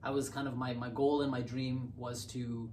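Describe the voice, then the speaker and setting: A young man from Bangladesh, raised in Canada, talking as a podcast guest in a thoughtful, halting way and repeating words while he thinks. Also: medium pitch, flat delivery, darkish tone, recorded from a distance. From born in Bangladesh, raised in Canada. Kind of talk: casual conversational interview. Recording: roomy, off-mic, faint hiss.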